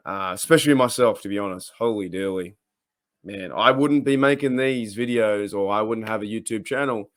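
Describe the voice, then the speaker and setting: A man's voice: mid-range voice, fairly bright tone, dry recording, clean studio sound. A man with an Australian accent hosting a casual sports podcast, talking in a rambling, hesitant way. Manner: rambling, hesitant, halting delivery. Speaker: podcast host.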